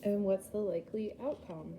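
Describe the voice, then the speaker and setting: A woman's voice: mid-range voice, fairly even intonation, normal speed, warm tone, slight background noise. A woman giving a casual, chatty tarot reading, rambling and laughing at herself, a little groggy after a poor night's sleep. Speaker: tarot reader.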